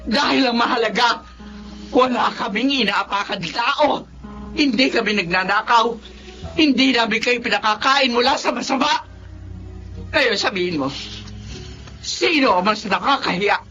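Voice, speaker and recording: Filipino mom in radio play, high n tense, long-suffering, reproachful, bit of music behind. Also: female voice, normal speed, pauses often, pushed and bright. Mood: indignant, hurt. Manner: reproachful, emphatic. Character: proud, honest, long-suffering widowed mother. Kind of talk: emotional radio drama acting. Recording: muffled radio drama, faint music.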